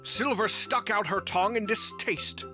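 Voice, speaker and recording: A narrator reads a scripted story in a voice of middling pitch. The delivery is that of prose read aloud. Music plays clearly behind the words. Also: measured pace.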